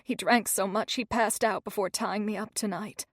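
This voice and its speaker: A woman voicing a frightened teenage girl, a battered runaway who talks in rough, ungrammatical speech. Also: medium pitch, steady tone, moderate pace, no echo, studio quality, lightly acted.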